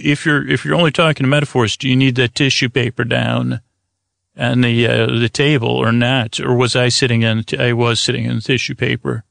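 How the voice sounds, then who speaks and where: A man with a creaky voice hosting a sleep podcast, gently rambling in a soothing, halting way with stutters and unfinished thoughts. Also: mid-range voice, dry recording, studio quality. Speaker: podcast host.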